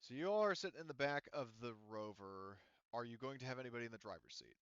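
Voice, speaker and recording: A man's voice: medium pitch, lively intonation, quick pace, a bit bright, clear, resonant tone, no echo, lo-fi sound. A man running a tabletop roleplaying game as game master, talking casually and thinking aloud with hesitations. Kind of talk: casual tabletop game narration and rules talk.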